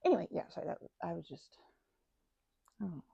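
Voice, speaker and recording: A woman plays a goofy, caring best friend chatting in an intimate ASMR roleplay. Her low voice is soft and breathy, with some creak at the edges. She rambles haltingly and often interrupts herself, scatterbrained but kind. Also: animated, no echo, highs cut off, full character voice. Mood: earnest.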